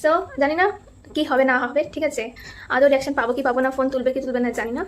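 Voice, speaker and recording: A woman's voice: sits high, steady tone, rapid-fire, over loud music. A woman chatting casually as a prank call host, teasing and insistent. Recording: clearly noisy background.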